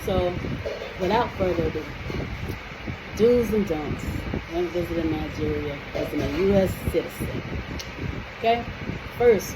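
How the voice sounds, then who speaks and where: An American woman in a casual to-camera vlog, talking in a chatty, emphatic way and checking in with the viewer often. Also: on the low side. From United States. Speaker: vlogger. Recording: noisy room with air conditioning hum.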